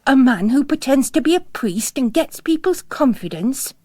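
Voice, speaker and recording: A woman's voice: sits high, very animated, moderate pace, a little mellow, dry recording, clean studio sound, voice pushed up. A woman voicing a young nun, blunt and plain-spoken, weary of piety and wary. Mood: fearful, accusing.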